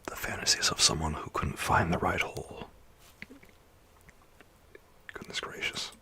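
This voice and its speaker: Deep whispering male streamer. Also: flat delivery, measured pace. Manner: soft whispering, chuckling, loose and rambling. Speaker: livestreamer. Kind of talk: whispered livestream chat with viewers.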